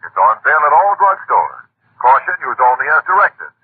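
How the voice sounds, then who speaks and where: A man's voice: mid-range voice, very animated, fairly quick, husky, narrow, tinny audio. A man reading an old-time radio commercial as an emphatic, formal announcer. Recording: thin, distant vintage radio recording, faint noise.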